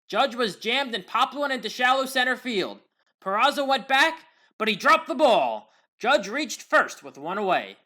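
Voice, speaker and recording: An American woman narrates sports highlights in a scripted play-by-play style. Her voice sits at a medium pitch for a woman. She works as the commentator, recapping the action step by step. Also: fairly bright tone.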